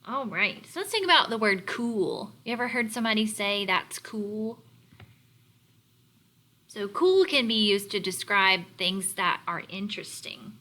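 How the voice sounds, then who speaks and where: A woman teacher leading a read-aloud lesson for young children, explaining words in a deliberate, instructional way. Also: medium pitch, expressive, sharp tone. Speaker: elementary teacher.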